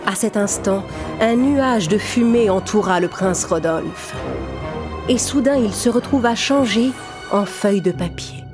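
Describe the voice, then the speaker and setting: A woman's voice: mid-range voice, a little slow, music in the background. A woman narrator telling a children's fairy tale in a scripted storytelling style.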